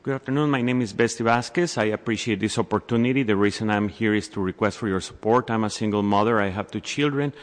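A man interpreting and speaking formally and deliberately at a public hearing. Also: fairly low pitch. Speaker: interpreter and community advocate. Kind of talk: consecutive interpreting and public comment. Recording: echoey hall, long reverb.

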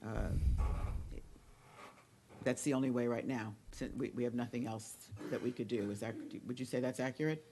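A woman commissioner questioning an official at a public meeting, feeling her way through halting, tentative phrases. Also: a bass voice, mellow, slight background noise. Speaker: county commissioner.

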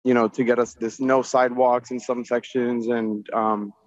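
A man's voice: mid-range voice, flat delivery, moderate pace. A man giving informal public comment over a remote call from outdoors, speaking in an earnest, insistent way. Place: outdoors near a highway. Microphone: remote call into meeting. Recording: dull, roomy remote-call audio.

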